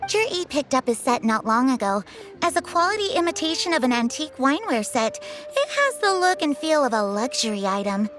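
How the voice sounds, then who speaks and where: An actress voicing an expressive female character in a video game. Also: sits high, a little fast. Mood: polite. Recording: prominent music under the voice.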